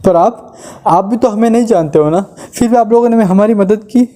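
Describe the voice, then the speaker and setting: A man's voice: high-pitched, normal speed, dark tone, natural voice. A man voicing an earnest, imploring husband, a wealthy traveler in distress, in a moral story. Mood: earnest, grateful. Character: wealthy grateful husband in distress, pleading for help. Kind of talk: Hindi moral story voice acting.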